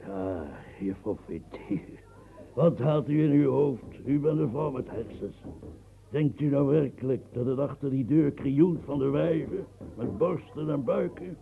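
An actor playing an aging fortress-building marshal in a radio play, declaiming grandly and stammering when moved. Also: male voice, mid-range voice, unhurried, rough recording. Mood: brooding, declaiming. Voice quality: gravelly, dark and husky. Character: aging royal fortress engineer, proud, brooding. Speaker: military engineer marshal. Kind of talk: radio drama acting.

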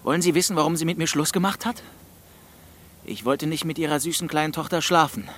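A man's voice: fairly high pitch, brisk, fairly bright tone, pitched above the performer's own voice, close to an everyday voice. A man voicing a bitter, defiant teenage ex-boyfriend in an audiobook, blunt and sarcastic, with faint noise behind.

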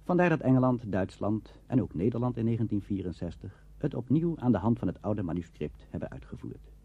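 A man's voice: on the low side, lively intonation, a little fast, slightly rough, no room sound. A man giving scripted documentary narration in a formal, lecturing manner. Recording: dull, muffled old recording.

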